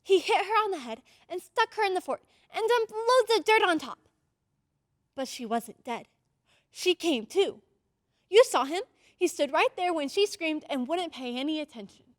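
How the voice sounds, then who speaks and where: A woman's voice: very high-pitched, expressive, normal speed, no echo, studio quality. A woman playing an earnest, insistent ten-year-old girl in an old-time radio drama, urgent and pleading. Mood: alarmed.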